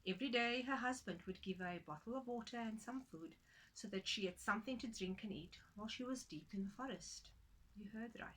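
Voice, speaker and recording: A woman's voice: on the high side, a little fast, a bit bright, rough recording. A woman storyteller narrating a folktale for children, dramatic and playful in her delivery.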